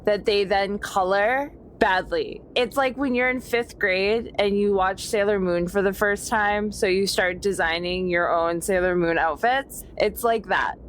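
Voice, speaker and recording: Female roleplay gamer, casual mid-pitched chat, clear background noise. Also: measured pace, few pauses. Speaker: tabletop game player.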